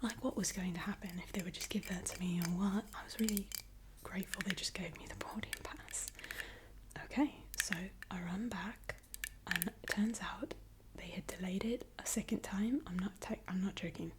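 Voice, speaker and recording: A woman tells a long personal story entirely in a soft ASMR whisper. She sits at a middle pitch for her voice and keeps the retelling chatty and animated. Clicks and a clear background hiss sit under her voice. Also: moderate pace.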